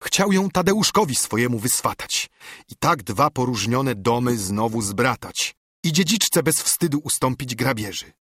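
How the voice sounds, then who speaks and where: A man acts out a brooding monk in a storybook told in verse. He is earnest and urgent, admonishing as he reasons. His voice has a husky edge in a dry recording. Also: mid-range voice, very animated, measured pace, fairly bright tone, no echo, full character voice. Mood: pleading. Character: brooding, urgent monk, scolding and pleading with a friend. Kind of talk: dramatised audiobook reading of verse.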